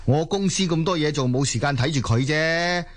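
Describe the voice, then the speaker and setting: A man's voice: fairly high pitch, moderate pace, natural voice. A man playing a short-tempered middle-aged father in a Cantonese audio drama, scolding and blustering with many interjections. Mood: angry, scolding.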